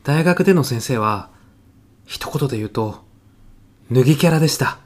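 A devoted physicist protégé played by a man in a short radio comedy. His voice sits at a medium pitch. He talks with formal, reverent seriousness, telling his tale in a deadpan, grandiose way. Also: normal speed, close to an everyday voice. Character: earnest, reverent male physicist, devoted protégé of late professor. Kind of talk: audio comedy sketch acting.

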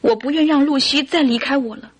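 A woman's voice: high-pitched, a little fast, lightly acted. An actress playing an earnest, devoted mother and wife, pleading yet resolute, in classic Mandarin radio drama style.

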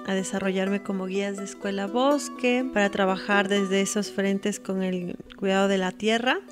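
Woman in her thirties with a Quito Ecuadorian accent and mid-range pitch. Reflective, unhurried guide with soft music behind. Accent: Ecuadorian Spanish, Quito. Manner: reflective, unhurried, with hesitant fillers. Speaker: outdoor guide and tour operator founder. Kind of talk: personal self-introduction.

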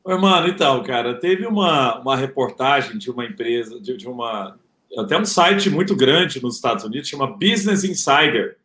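A Brazilian man with a Rio de Janeiro accent, telling an entrepreneur's story in a relaxed, slangy way with lots of fillers. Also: mid-range voice, moderate pace. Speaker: entrepreneur guest. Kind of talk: casual interview storytelling. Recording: echoey room.

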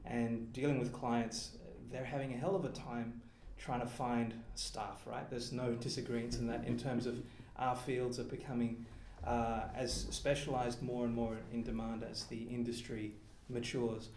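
A man's voice: mid-range voice, flat delivery, unhurried, hardly any pauses, darkish tone, reverberant, a little hiss or hum. A man hosting a panel discussion, speaking loosely with many fillers. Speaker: host, discussion facilitator. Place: meeting room.

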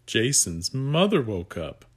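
A man reading a picture book aloud to young children, with gentle asides that guide the listener. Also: mid-range voice, big pitch swings, slow pace, husky.

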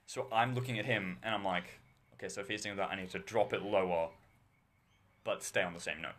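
A teenage boy with a low bass voice, chatting casually as podcast co-host, rambling and talking in bursts. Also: fairly even intonation, fairly quick, fairly bright tone. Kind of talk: casual chat.

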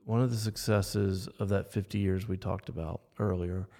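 A man's voice: deep voice, steady tone, moderate pace, dark tone. A man conducting a conversational interview, affirming and somewhat hesitant as he rephrases his questions. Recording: long reverberant hall echo.

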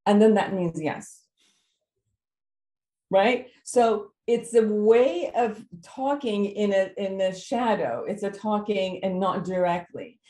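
Iranian-born therapist lady, thoughtful, lots of ums, mellow tone, echoey room. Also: mid-range voice, takes it slowly. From Iran. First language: Persian. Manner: thoughtful, explanatory, many fillers and restarts. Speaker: psychotherapist guest. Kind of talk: podcast interview.

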